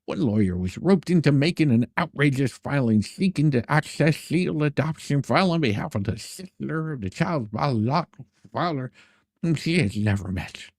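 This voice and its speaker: A man's voice: mid-range voice, lively intonation, moderate pace, a little mellow, dry recording. A man hosting an informal livestream, chatting and reading letters aloud with a sarcastic edge. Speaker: streamer, host of his own channel. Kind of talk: casual livestream chat and dramatic reading of letters.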